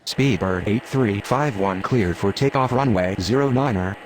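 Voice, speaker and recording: Actor as a formal low-voiced airline pilot. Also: male voice, slight background noise, natural voice. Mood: calm. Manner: formal, measured. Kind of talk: clipped radio phraseology.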